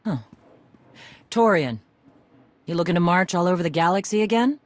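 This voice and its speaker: A woman's voice: fairly low pitch, normal speed, no echo, lightly acted. Actress as a blunt, battle-worn warrior woman. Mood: firm, wry. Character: gruff battle-worn female Mandalorian warrior clan leader. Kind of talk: video game voice acting.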